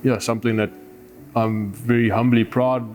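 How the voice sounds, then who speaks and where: A man's voice: medium pitch, flat delivery, moderate pace, darkish tone. A man from Zimbabwe with a Zimbabwean accent, speaking earnestly and philosophically in a reflective, conversational documentary interview. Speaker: adventurer and overlander, interviewee. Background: music.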